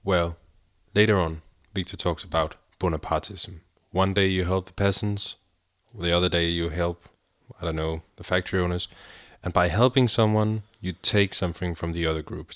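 A man's voice: low voice, fairly even intonation, moderate pace, hardly any pauses, dry recording, clean studio sound. A man co-hosting a podcast in a casual, conversational discussion style. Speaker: podcast co-host.

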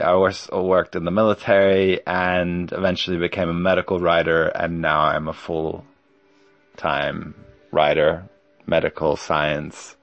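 A man's voice: low voice, no room sound, lo-fi sound. A man in his forties hosting a solo podcast, talking in a hesitant, rambling way with lots of ums and yeahs. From Germany. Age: 40s. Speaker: podcast host.

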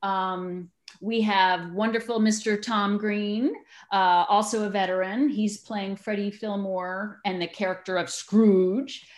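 Expressive, enthusiastic woman chatting as guest. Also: medium pitch, a little slow. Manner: enthusiastic, expressive big personality. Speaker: interview guest, community theater actor. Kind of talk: casual interview chat.

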